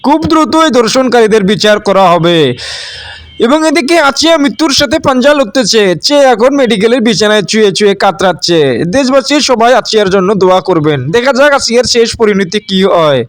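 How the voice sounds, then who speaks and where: A man's voice: high voice, lively intonation, a little fast, no room sound. A man voicing a solemn, earnest news reporter with a Bangladeshi accent, declaiming and pleading in an amateur cartoon. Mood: urgent, grave.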